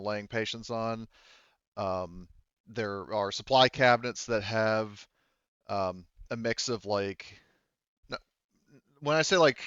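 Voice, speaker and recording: A man's voice: lowish voice, fairly bright tone, clean tone, no echo, highs cut off. A man speaking as game master in a casual, rambling way, explaining rules and describing scenes and often correcting himself.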